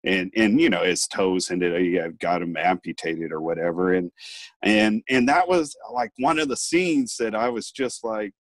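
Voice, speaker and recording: A man's voice: lowish voice, normal speed, no echo. A middle-aged man hosting a podcast over a video call, talking casually with many restarts and fillers through an earbud mic. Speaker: podcast host.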